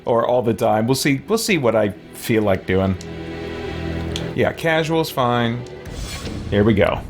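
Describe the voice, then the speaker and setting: Male gaming host, medium pitch, casual commentary, thinking aloud, clear background music. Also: animated, measured pace. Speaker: gaming host, let's player. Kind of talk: casual off-the-cuff gameplay commentary. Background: game music.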